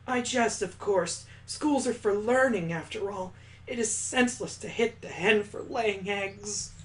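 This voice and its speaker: A man voicing a composed, enigmatic older schoolgirl from a visual novel, speaking in a measured, formal way. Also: high voice, rough recording. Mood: gently teasing.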